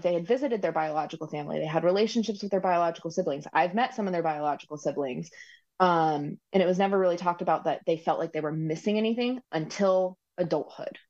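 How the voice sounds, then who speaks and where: A woman guest speaks at length in a relaxed podcast interview, her voice low for a woman. She rambles along with frequent ums and likes. The audio is dull and lo-fi. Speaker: guest, recipient parent. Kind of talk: conversational interview.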